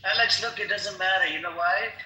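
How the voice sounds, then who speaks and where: A man with a high, very bright voice steps into a live debate as moderator. He talks casually and tries to placate, repeating his interjections over roomy, slightly noisy audio. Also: steady tone, a little fast. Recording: echoey stream with background noise.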